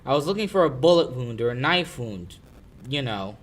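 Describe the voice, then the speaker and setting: A man's voice: mid-range voice, very animated, moderate pace. A young man acting a brash, stubborn lawyer in a 1940s-style radio drama. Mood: grave, measured. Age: young adult. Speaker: high school actor.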